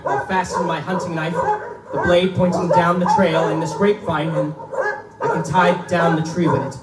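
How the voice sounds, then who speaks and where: A man's voice: high voice, close to an everyday voice. An actor playing a desperate but resourceful hunted man, talking tensely to himself in short phrases in a pulp drama. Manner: tense muttered self-narration in short phrases. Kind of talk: live pulp radio-play acting. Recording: echoey live room, distant mic, loud noise.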